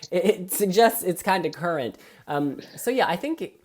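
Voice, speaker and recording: A woman co-hosting a casual review chat, talking in an animated, chatty and opinionated way. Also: fairly low pitch, normal speed. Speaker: co-host.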